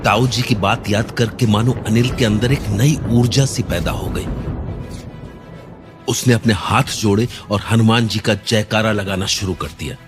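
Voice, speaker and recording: A man narrating a cartoon horror story in a suspenseful, measured storytelling manner. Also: lowish voice, over music.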